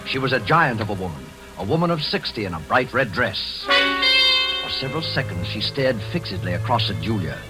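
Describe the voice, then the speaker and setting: A man announcing and narrating a 1940s radio drama with a measured, dramatic delivery. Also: fairly low pitch, very animated, poor audio. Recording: old radio broadcast over music.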